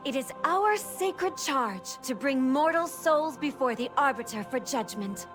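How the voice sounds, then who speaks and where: A woman's voice: high-pitched, lively intonation, unhurried, over music. A woman voicing an earnest, dutiful celestial guide in a video game, formal and courteous. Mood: welcoming.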